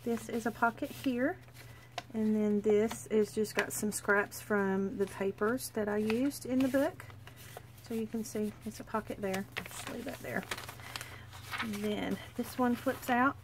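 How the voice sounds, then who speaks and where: A woman, stuffy from a cold, chatting quickly and gushingly as she shows a handmade journal at her craft table. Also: medium pitch, steady tone, background noise. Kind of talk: casual craft walkthrough.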